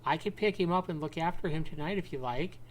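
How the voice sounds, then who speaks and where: A man's voice: fairly high pitch, fast, soft background music, pitched above the performer's own voice, close to an everyday voice, poor audio. A man voicing a concerned, polite woman neighbor offering help in a visual novel game.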